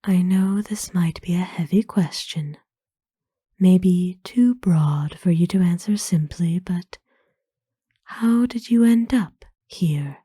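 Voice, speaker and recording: A woman voicing a kind, ancient aristocratic vampire lady in a whispered ASMR roleplay, speaking softly with formal, soothing, wistful phrasing. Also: lowish voice, slow pace, no echo, studio quality. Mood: gentle, sympathetic. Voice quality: soft, breathy whisper.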